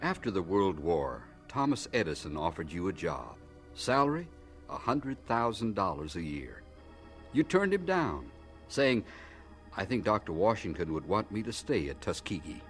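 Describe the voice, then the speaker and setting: A man narrating a radio drama as a solemn storyteller, measured and dramatic, addressing the hero directly. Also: deep voice, big pitch swings, over music.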